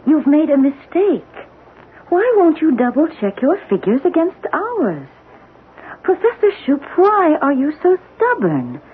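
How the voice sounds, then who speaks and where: A woman's voice: high voice, huge pitch swings, measured pace, heavily acted. An actress playing a troubled young woman chemist in a 1970s radio drama, childlike and drifting in manner. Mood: insistent, agitated. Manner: childlike, drifting between playful and insistent. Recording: tinny band-limited 1970s radio broadcast.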